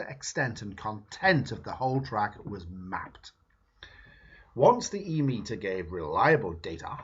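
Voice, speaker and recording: A man reading a book foreword aloud in a measured, emphatic way. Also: fairly low pitch, husky. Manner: measured, emphatic reading with lively rises and falls. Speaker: reader. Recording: dull lo-fi recording.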